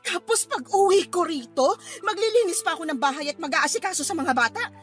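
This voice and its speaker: A woman's voice: very high-pitched, lively intonation, brisk, a bit bright, faint music behind. An actress playing a feisty, fed-up Filipino mother in a radio drama, scolding her drunkard partner in a combative, emphatic way. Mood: angry, exasperated.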